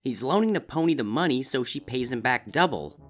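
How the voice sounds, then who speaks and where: A man voicing a greedy, excitable young male griffin student in a fan fiction story, loud and halting. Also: mid-range voice, faint music behind, pitched above the performer's own voice, lightly acted. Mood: slow, eager. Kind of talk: character voice in a fan fiction reading.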